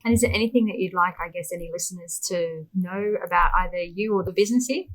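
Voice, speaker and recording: A woman talks at a fast clip in a slightly low voice. She is a podcast host doing a casual interview, informal and a little tentative in how she phrases things. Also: low-quality recording.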